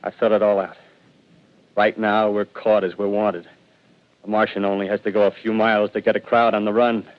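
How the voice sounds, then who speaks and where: An actor playing a desperate male ex-militiaman who rambles feverishly about his survival scheme, swinging between hushed and loud. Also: lowish voice, little pitch movement, normal speed, natural voice. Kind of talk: 1930s radio drama acting. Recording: dull lo-fi vintage radio broadcast.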